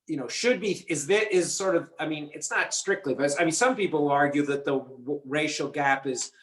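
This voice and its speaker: A man works his way through a long, hesitant question, full of restarts and "you know". His voice sits fairly high for a man. He sounds like he is on a video call in an echoey room. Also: normal speed, fairly bright tone. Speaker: audience member asking a question. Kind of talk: rambling discussion question.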